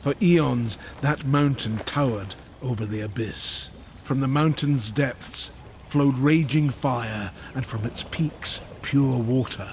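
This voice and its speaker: A man playing a solemn old Maker in a fantasy game, telling ancient lore in a grave, deliberate manner. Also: mid-range voice, a little hiss or hum.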